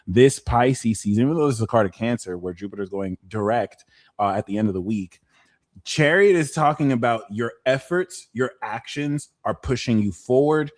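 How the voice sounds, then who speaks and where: A man's voice: medium pitch, expressive, moderate pace, dry recording. A man hosting a live pick-a-card tarot reading, talking in a playful, slangy way with jokes in between. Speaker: tarot reader and host.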